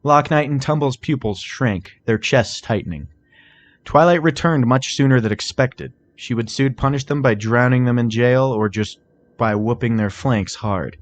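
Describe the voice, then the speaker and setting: A man's voice: mid-range voice, normal speed. A man narrating scripted fan fiction as a dramatic storybook reading.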